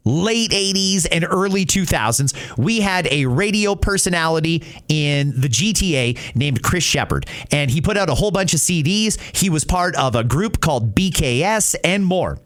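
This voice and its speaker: Animated high-pitched man hosting talk. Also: a little slow. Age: middle-aged. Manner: emphatic, conversational. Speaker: podcast host. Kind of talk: opinionated talk radio commentary.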